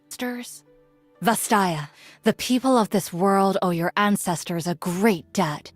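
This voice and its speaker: Game role: stern ancient warrior woman played by an actress, solemn, formal, measured, mid-pitched, faint music behind. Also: dry recording, natural voice. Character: stern, ancient female spirit-realm warrior, solemn and judging. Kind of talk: scripted game character voice lines.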